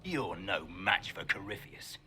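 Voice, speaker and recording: Give the game a menacing general, with a lowish, sharp and forceful voice. He is grandiose and taunting, a zealot speaking over faint noise. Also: expressive, unhurried, heavily acted. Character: menacing middle-aged male enemy general, zealous and defiant. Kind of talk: dramatic video game acting.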